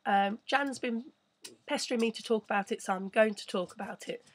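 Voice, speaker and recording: A woman hosting a casual live stream, chatting and rambling with a croaky voice. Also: fairly high pitch, a little fast. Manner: chatty, rambling, reads viewer messages. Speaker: crypto channel host.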